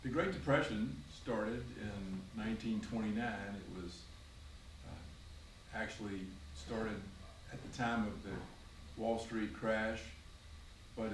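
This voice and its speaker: A man in his sixties from Virginia, a grandfather answering his grandchild's questions at home by the fire, explaining in a measured way with frequent ums and uhs. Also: fairly low pitch, reverberant, distant mic, slight background noise, rough recording. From Virginia, USA. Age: 60s. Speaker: grandfather being interviewed. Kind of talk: family interview, casual recollection. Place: home, beside a fireplace.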